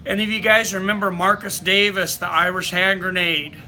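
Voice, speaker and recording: A man's voice: high voice, level tone, fairly quick, pushed and bright. A man hosting a live fight companion stream, chatting casually and reading viewer comments aloud.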